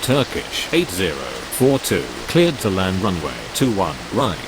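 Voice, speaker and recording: A man with a slightly low voice and a lively, moving pitch gives scripted aviation calls. The phrasing is formulaic and clipped. Loud rushing noise surrounds the voice. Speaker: air traffic radio voice in a game.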